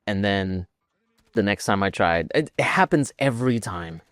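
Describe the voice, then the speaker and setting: A man's voice: on the low side, animated, moderate pace, fairly bright tone, dry recording, studio quality. A man co-hosting a podcast, chatting informally about video games in a self-deprecating way. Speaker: podcast co-host.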